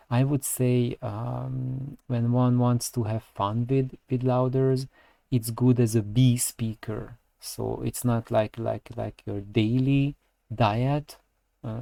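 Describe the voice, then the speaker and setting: A man talks through technical advice off the cuff in a low, gravelly voice. His delivery is very slow and halting, with words repeated as he feels his way through each point. The pitch stays very flat throughout. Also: dry recording. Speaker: audio review channel host. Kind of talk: unscripted technical talk, opinion and advice.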